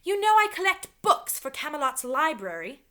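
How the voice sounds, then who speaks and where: A wise, commanding enchantress from a storybook, voiced by a woman reader. She speaks formally and deliberately, in a bright, high voice with expressive rises and falls. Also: heavily acted. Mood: solemn. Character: wise, commanding enchantress librarian giving solemn missions.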